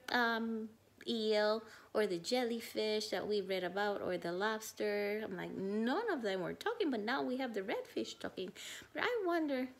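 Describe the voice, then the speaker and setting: Animated woman teacher reading aloud, unhurried. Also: on the high side. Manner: expressive, questioning, pausing to think aloud. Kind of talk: children's storybook read-aloud with teaching asides.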